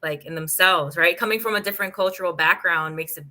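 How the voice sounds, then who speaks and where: A woman's voice: fairly low pitch, fast talker, sharp tone. A woman hosting an interview, enthusiastic and full of exclamations. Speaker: interview host. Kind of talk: conversational interview.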